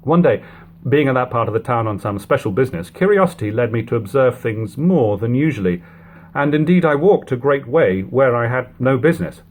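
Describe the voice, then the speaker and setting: A man reading an old literary book aloud in a measured, phrase-by-phrase narration, on a lo-fi home recording. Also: medium pitch, lively intonation. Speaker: audiobook reader. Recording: lo-fi home recording, background hum, noise.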